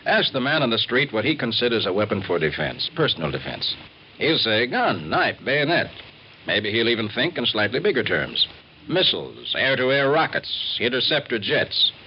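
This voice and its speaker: An actor playing an earnest, driven American roving reporter, narrating in an emphatic, clipped 1950s radio drama style. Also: male voice, medium pitch, very animated, moderate pace, a little hiss or hum, natural voice. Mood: earnest, pondering. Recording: dull vintage radio recording, music behind.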